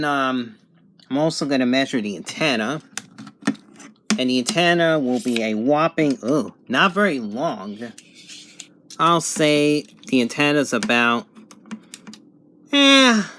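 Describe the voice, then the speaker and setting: A man giving a casual, unscripted product review at home, thinking aloud in halting phrases. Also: mid-range voice, expressive, bright, forceful tone, a little hiss or hum. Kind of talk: casual unboxing and review narration. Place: home bedroom, indoors.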